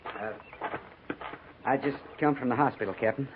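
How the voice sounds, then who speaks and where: Actor as folksy army sergeant, tinny radio. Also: male voice, mid-range voice, normal speed, lightly acted. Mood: respectful. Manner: folksy, hesitant, respectful. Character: plain-spoken, loyal, soft-hearted frontier army sergeant. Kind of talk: 1950s western radio drama acting. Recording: tinny, faintly noisy 1950s radio recording.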